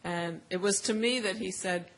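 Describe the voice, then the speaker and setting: A woman's voice: medium pitch, moderate pace. A woman on a discussion panel, speaking reflectively and personally with some fillers.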